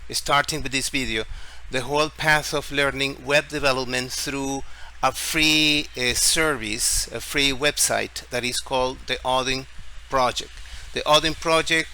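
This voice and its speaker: A man from Ecuador with an Ecuadorian Spanish accent hosts an informal screen-share explainer in halting, deliberate phrases, often repeating words. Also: medium pitch, fairly even intonation, bright, forceful tone, poor audio. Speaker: tutorial video host. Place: at home, street celebration outside. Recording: faint background noise.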